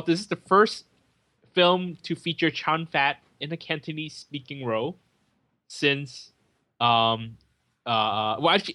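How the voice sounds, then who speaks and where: A man's voice: medium pitch, pushed and bright. A man talking casually about a film as a podcast co-host, with lots of fillers and restarts. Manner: chatty, many fillers and restarts.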